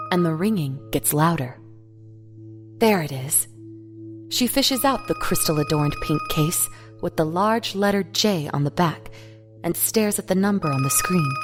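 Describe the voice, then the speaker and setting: A woman reading scripted, dramatic fiction narration in the present tense, with music behind her. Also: on the low side. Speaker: story narrator.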